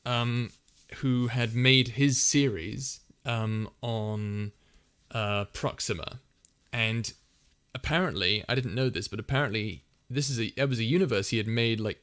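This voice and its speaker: A man hosting a casual livestream Q&A. His voice is soft and soothing, and he rambles through his answers with lots of fillers and restarts. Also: mid-range voice, clear, resonant tone. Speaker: ASMR channel host answering viewer questions.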